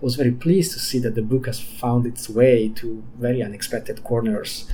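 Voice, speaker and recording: A man's voice: medium pitch, steady tone, normal speed, dark tone, slight background noise, rough recording. A man who grew up in Switzerland and whose first language is Italian, an author answering interview questions thoughtfully and often repeating words as he restarts.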